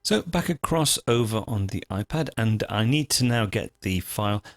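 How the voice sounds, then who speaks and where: A man with a fairly low voice narrates a screencast tutorial. His intonation moves up and down a lot as he explains each step methodically and informally. The sound is dry and close to the microphone. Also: lively intonation, normal speed, dry recording. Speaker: host of a software tutorial show.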